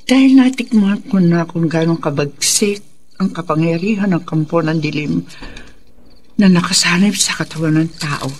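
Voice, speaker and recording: A woman's voice: lowish voice, normal speed, darkish tone, lightly acted. A resolute faith healer, played by a woman, speaks solemnly and with authority. She is a mother battling evil spirits in a radio horror drama, recorded with audible background noise.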